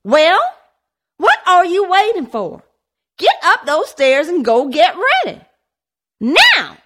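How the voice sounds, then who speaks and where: A woman voicing an anxious wealthy mother who forces composure, her voice strained and shrill. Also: sing-song, moderate pace. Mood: shrill scolding. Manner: shrill and strained, sharp when scolding.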